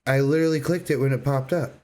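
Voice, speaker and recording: Man, middle pitch, casual gaming podcast co-host, blunt, teasing, informal banter. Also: fairly even intonation, quick pace, warm tone.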